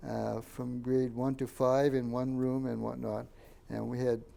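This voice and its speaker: An older man, a Japanese first-language speaker from Canada, recalls his childhood in a halting oral history interview and points out photos. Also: medium pitch, little pitch movement, creaky, mellow. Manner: halting, many fillers and restarts, pointing out photos.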